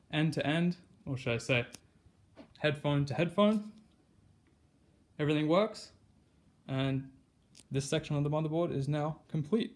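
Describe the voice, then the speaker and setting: A man hosting a casual hands-on demonstration vlog, speaking from behind a handheld camera in a room at home. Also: mid-range voice. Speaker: vlog host. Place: carpeted room at home, indoors. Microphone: handheld camera or phone mic, speaker behind the camera.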